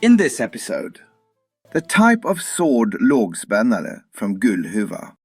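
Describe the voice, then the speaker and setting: A man's voice: mid-range voice, wildly swooping pitch, slow pace, darkish tone, husky, no room sound, soft background music. A man announcing a story podcast in a scripted intro and outro style.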